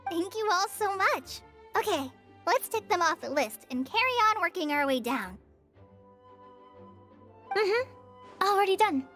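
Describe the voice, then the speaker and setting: A woman voicing a chirpy, tiny, childlike fairy companion girl with a squeaky voice in a video game. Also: big pitch swings, measured pace, pauses often, fairly bright tone, soft background music, heavily acted. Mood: perky.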